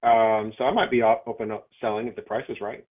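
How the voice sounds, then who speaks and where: A man's voice: fairly low pitch, fairly even intonation, fast talker. A man, a property owner, chatting casually on a phone call in an easygoing, hesitant way, thinking aloud as he talks. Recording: narrow, tinny phone line.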